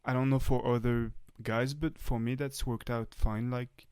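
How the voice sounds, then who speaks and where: A young man from France with a French accent, hosting a casual podcast chat and talking haltingly with many fillers and restarts. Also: medium pitch, fairly even intonation, a little mellow, clear, resonant tone. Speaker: podcast host.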